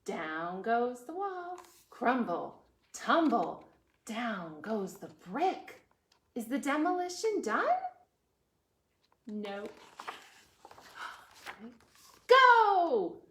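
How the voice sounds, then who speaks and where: Expressive high-voiced woman reading to preschoolers. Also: drawn-out words, long pauses, a little hiss or hum. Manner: sing-song, chanting, asks listeners questions. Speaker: children's librarian, storytime host. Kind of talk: animated read-aloud picture book storytime for preschoolers. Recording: roomy, echoey indoor sound.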